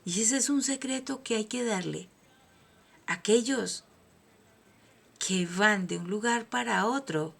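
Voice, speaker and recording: A woman from Colombia with a Colombian accent, giving a personal motivational monologue as host, emphatic and preaching, swinging from soft asides to loud declarations. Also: medium pitch, unhurried, gravelly, long pauses, husky.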